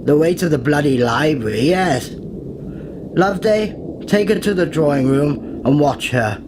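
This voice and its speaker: An actor playing a haughty, villainous occultist lord in a radio play, pompous, sardonic and commanding. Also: male voice, fairly high pitch, expressive, a little slow. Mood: impatient, commanding. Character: haughty villainous lord leading an occult ritual, suave and menacing. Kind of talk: old-time radio play acting. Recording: noisy recording with clicks.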